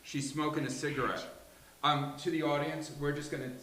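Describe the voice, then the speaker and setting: A man's voice: on the high side, level tone, fairly quick, a bit bright. A man reading stage directions as scripted narration at a live table read, in a roomy space with hiss.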